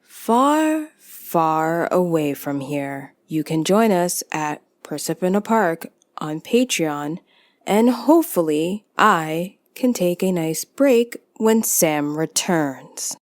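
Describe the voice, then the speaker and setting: A woman announcer reads a scripted message in a lowish voice. Her pace is slow and the sound is dry and close. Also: lively intonation, dry recording. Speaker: podcast announcer.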